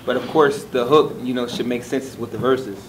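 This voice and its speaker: A man speaking casually and haltingly as a songwriter on a panel, explaining step by step over noise. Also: mid-range voice, fairly even intonation. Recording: clear background noise.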